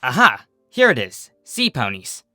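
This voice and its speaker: Easily irritated, practical female pony acted by a story reader, deadpan manner, bright forceful tone. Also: mid-range voice, unhurried, slight background noise, heavily acted. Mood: reading aloud. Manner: deadpan, matter-of-fact.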